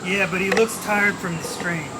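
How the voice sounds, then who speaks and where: High-voiced menacing gangster boss, played by a man. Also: fairly even intonation, lightly acted. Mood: cool menace. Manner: deliberate, coolly threatening. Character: menacing male crime boss giving cool orders. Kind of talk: old-time radio detective drama acting. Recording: noisy, hissy radio play recording.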